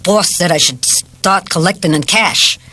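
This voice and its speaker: Uneasy working-class repairman, read by a woman in her sixties. Lowish voice, colloquial, apologetic, audiobook character. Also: unhurried, sharp tone, husky, full character voice. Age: 60s.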